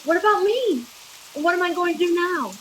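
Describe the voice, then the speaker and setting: High-voiced, sassy scheming dame. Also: female voice, lively intonation, moderate pace, a bit bright, slight background noise, low-quality recording. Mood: alarmed. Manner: mouthy, sarcastic. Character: sassy, scheming, jaded female double-crosser. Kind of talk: 1940s radio detective drama acting.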